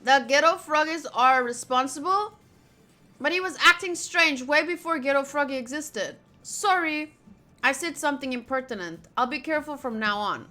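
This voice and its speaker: A woman's voice: high voice, moderate pace, sharp tone. A woman streaming a game, reading its text aloud and chatting casually with playful asides.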